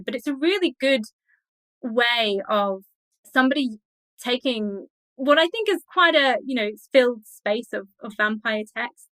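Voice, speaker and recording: A woman's voice: a little high, fairly bright tone, no room sound, rough recording. A woman speaking as an expert interview guest, explaining in a conversational, scholarly and enthusiastic way.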